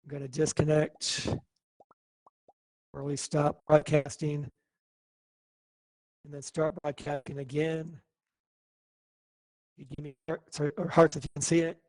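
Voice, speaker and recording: Guy teaching an online coding class, kinda high voice, dry mic, thinks out loud. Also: unhurried, long pauses, dry recording, low-quality recording. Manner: relaxed, thinking aloud with fillers.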